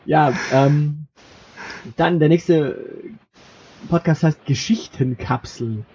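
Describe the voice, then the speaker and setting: A man with a somewhat high-pitched voice chats as a podcast co-host. He jokes and interrupts himself while working down a list. The sound is a bit muffled, with faint noise behind him. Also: slow, a little mellow. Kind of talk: casual banter, reading out a list of podcasts.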